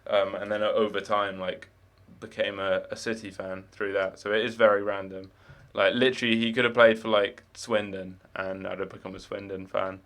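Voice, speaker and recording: A young man with no particular accent chats through a late-night game stream, his voice sitting slightly low. He rambles loosely, restarting sentences and padding them with fillers. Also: little pitch movement, moderate pace, hardly any pauses, a bit bright. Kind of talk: casual live-stream chat.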